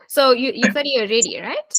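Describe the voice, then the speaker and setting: A woman's voice: a little high, expressive, a little fast, a bit bright, rough recording. A South African woman in her thirties hosting a podcast, chatting in a friendly way and laughing often. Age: 30s. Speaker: podcast host. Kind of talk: casual interview chat.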